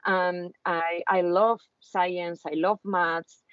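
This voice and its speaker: A woman's voice: on the low side, flat delivery, slow pace, clear, resonant tone, no echo. A woman from Spain with a Spanish accent, speaking as a guest on an online talk for students about her venture capital work, with many fillers and restarts. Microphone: video call. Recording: muffled video call audio.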